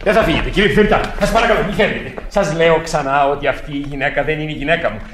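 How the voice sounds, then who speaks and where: Man acting frantic, pleading husband, higher-pitched. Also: a bit bright, slight background noise, natural voice. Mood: desperate pleading. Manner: agitated, pleading, emphatic repetition. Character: desperate, paranoid husband insisting his wife is an impostor. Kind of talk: radio theatre acting.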